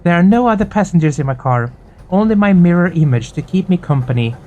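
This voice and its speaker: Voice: mid-range voice, expressive, brisk, dark tone, dull audio, a little hiss or hum. A game streamer with an informal, candid, somewhat hesitant way of talking, chatting casually and reading visual novel text aloud. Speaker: host of a visual novel playthrough channel.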